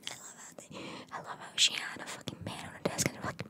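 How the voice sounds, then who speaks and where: Whispering woman, breathy ASMR. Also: fast. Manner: informal, chatty, sweary. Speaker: ASMR creator. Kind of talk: whispered ASMR, reading trivia with casual asides.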